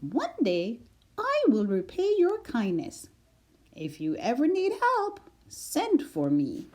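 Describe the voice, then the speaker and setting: A woman voicing a tiny, timid female mouse who speaks gently and earnestly in a high squeaky voice. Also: wildly swooping pitch, full character voice. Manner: high squeaky voice, slow and careful.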